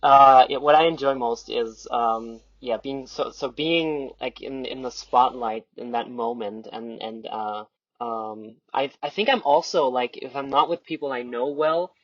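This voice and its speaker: Young man, casual podcast guest, halting self-deprecating delivery, dry muffled lo-fi audio. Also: medium pitch, a bit bright, no echo. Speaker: podcast guest, amateur theater group member.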